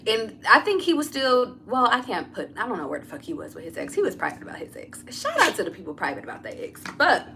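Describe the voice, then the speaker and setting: A woman's voice: fairly high pitch, sing-song, fast talker, gravelly, few pauses, background noise. A woman, a tarot reader, telling a personal story to her viewers in a blunt, candid way, swearing freely and talking straight to the audience.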